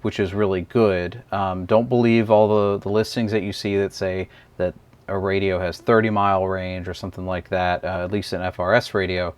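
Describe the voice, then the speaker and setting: A man's voice: sits low, little pitch movement, moderate pace, smooth. A man casually reviewing a gadget as he demonstrates it, thinking aloud with frequent ums and uhs. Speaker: product reviewer. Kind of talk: casual unscripted product demo.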